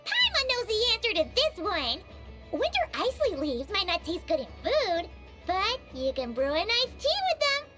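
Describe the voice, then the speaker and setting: A woman voicing a tiny, childlike fairy companion in a video game, cocky and excitable, with a squeaky voice. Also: moderate pace, exaggerated voice. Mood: proud. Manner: boastful, third-person self-reference. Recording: loud music behind the voice.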